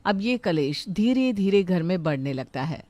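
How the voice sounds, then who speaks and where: A woman's voice: fairly low pitch, big pitch swings, a little slow, dark tone, no echo. A woman reading scripted narration for a moral story, in the manner of a storyteller.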